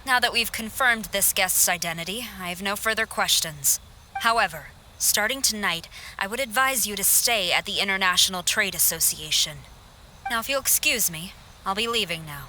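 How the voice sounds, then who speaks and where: A woman's voice: mid-range voice, animated, moderate pace, sharp tone, a little hiss or hum. A woman voicing a stern, authoritative military officer in a video game, formal and curt. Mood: stern, businesslike.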